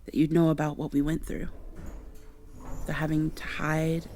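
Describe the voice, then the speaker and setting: A woman playing a guarded, sardonic human woman in a vampire ASMR roleplay, speaking close and breathy, with sarcastic, wary delivery that slips into whispers. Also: low voice, little pitch movement, normal speed, darkish tone, a little hiss or hum, close to an everyday voice. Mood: blunt, amazed.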